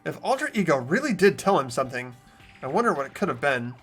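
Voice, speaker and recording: A man's voice: on the high side, big pitch swings, fast, fairly bright tone, husky, faint music behind, heavily acted. A man voicing an earnest, puzzled teenage boy who narrates in an amateur playthrough of a visual novel game.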